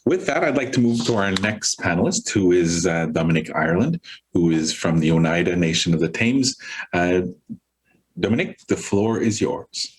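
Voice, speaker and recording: A man's voice: low-pitched, animated, moderate pace, breathy edge, poor audio. A man moderating a virtual panel, thanking speakers and introducing the next one in brief, polite remarks. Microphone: video call.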